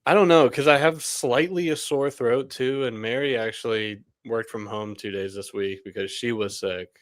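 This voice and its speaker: A man's voice: medium pitch, fairly even intonation, moderate pace, no echo, clean studio sound. A young man hosting a casual sports podcast, a little under the weather and rambling with lots of "like" and laughs. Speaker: podcast host.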